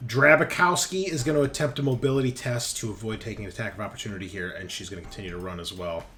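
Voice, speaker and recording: A man's voice: fairly low pitch, fast talker, pushed and bright. A man acting as game master in a tabletop roleplaying game, narrating action and explaining the rules. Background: background music.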